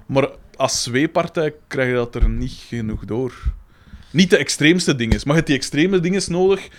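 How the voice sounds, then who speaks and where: A man's voice: medium pitch, normal speed. A man with a Flemish accent in loose, casual conversation, with a teasing way of talking. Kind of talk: casual chat.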